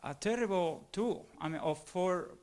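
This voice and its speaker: A man in the audience posing a long question in a formal, halting way, often searching for words. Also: sits high, a little mellow. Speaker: audience member asking a question.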